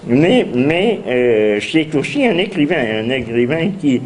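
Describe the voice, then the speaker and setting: An older man with a bad head cold introduces a philosophy seminar, speaking haltingly with many fillers and restarts. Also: medium pitch, very animated, a little mellow, a little hiss or hum. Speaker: seminar host. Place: university seminar room.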